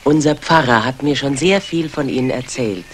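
An actor playing a polite, insinuating curate with a deliberate, unctuous manner in a 1960s German radio drama. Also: male voice, medium pitch, lightly acted. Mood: polite, confiding. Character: courteous, insinuating male curate winning trust. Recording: faintly noisy old radio recording.

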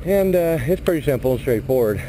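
A middle-aged man talks in a relaxed, plain-spoken way as he walks around his truck outdoors. His voice sits at an ordinary pitch for a man. A handheld action camera picks it up with clear wind and yard noise behind him. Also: brisk. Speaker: truck driver. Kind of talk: casual vlog walkthrough. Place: outdoor industrial yard beside a flatbed trailer. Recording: noisy outdoor camera audio.

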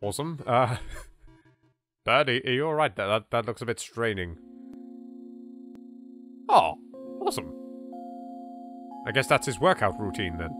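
A man's voice: mid-range voice, unhurried, long pauses. A man with an English accent giving casual, chatty, reactive gameplay commentary as a let's play host. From England. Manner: animated, reactive, politely chatty. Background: game music.

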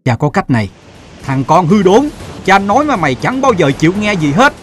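A man voicing a grave, protective middle-aged merchant father in an animated fairy tale. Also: high-pitched, fairly quick, over music. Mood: furious.

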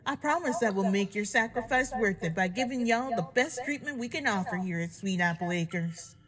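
A woman's voice: medium pitch, expressive, normal speed, a loud crowd, natural voice. Woman as villainous country mare, cruel and taunting under folksy manners, mid-range voice, horror fan drama. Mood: mock sincerity. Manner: mocking, menacing, folksy talk with y'all and ain't. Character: sadistic villainous female farm pony, mockingly polite killer. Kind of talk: horror fan fiction audio drama acting.

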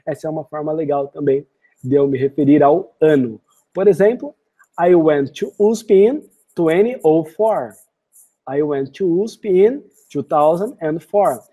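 A Brazilian-accented man teaches English phrases in a screen-recorded lesson. His voice is dark and mellow with a breathy, husky edge. He speaks the example sentences slowly and carefully before translating them. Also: a little high, poor audio. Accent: Brazilian Portuguese. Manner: slow, deliberate model phrases alternating with translations.

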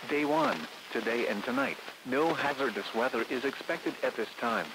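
Synthetic American male radio announcer, noisy reception. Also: mid-range voice. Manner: measured, impersonal, formulaic delivery. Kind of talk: automated scripted weather forecast reading.

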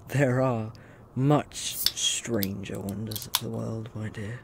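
A man's voice: fairly low pitch, steady tone, slow pace, vocal fry, very noisy. A man playing a cryptic, languid late-night customer in an audio drama. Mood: mysterious.

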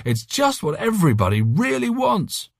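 A man's voice: high-pitched, wildly swooping pitch, moderate pace, gravelly, no room sound, studio quality, voice pushed up, exaggerated voice. Man acting out a drunk, rambling, cynical rocket scientist. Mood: gleeful, warming up. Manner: rambling, pitying, sardonic.

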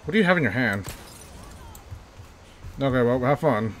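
A man's voice: mid-range voice, animated, normal speed, slight background noise. A man giving casual let's-play commentary, chatty and self-mocking, repeating himself when things get tense. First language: not English, by his own joke. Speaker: gaming YouTuber.

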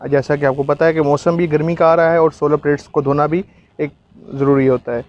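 A man's voice: on the high side, steady tone, a little fast, darkish tone, highs cut off. A man with a Pakistani accent giving an instructional live product demonstration outdoors, explaining as he goes. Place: outdoors, on a street and a rooftop.

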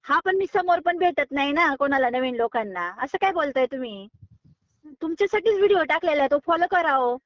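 A woman's voice: high voice, lively intonation, a little fast, no echo. A woman in an unscripted phone conversation, arguing firmly and sounding exasperated. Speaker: online recipe video creator receiving a prank call. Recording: dull, lo-fi phone call audio.

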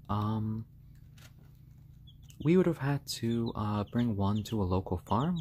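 A man with a slightly hoarse voice, chatting casually and gently on a live stream from a room at home, in halting explanations and pet talk. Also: fairly low pitch. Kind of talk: casual chat on a live stream, with cooing to pets. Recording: clear background noise, bird sounds.

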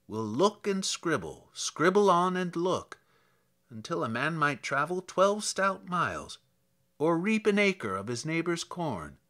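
A man voices an exasperated old country priest in a recited poem. The delivery is slow and measured, with a bright, fairly high male tone. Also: full character voice. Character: homely old country priest, grumbling about idle tourists.

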